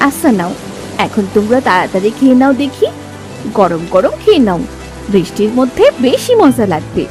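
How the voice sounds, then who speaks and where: A woman voicing a hospitable, caring village housewife with an animated manner in a cartoon folk tale. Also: mid-range voice, normal speed, music in the background, lightly acted. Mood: friendly, attentive.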